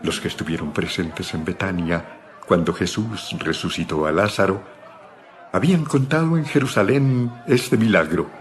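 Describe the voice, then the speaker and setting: A man's voice: low-pitched, normal speed, slight background noise. A man narrating a dramatized audio Bible from a script.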